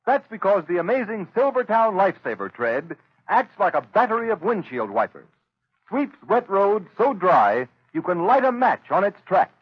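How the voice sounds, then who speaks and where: A man's voice: high-pitched, sing-song, normal speed, husky, no echo. A man announcing a 1930s radio advertisement in an emphatic sales manner. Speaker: commercial announcer. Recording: muffled old radio recording.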